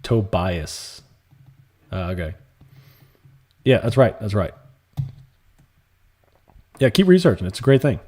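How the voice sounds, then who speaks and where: Calm, husky-voiced man streaming live. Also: fairly low pitch, takes it slowly, pauses often. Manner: calm, soft-spoken, laid-back. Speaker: livestream trading host. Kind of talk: casual live stream chat, reading viewer comments.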